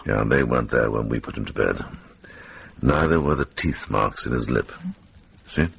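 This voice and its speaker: A very deep, gravelly old monk. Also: male voice, a bit bright, exaggerated voice. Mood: grave, certain. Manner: measured, weighty, plain-spoken. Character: shrewd, kindly, plain-spoken older monk investigator. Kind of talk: radio drama acting. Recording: noisy radio drama recording.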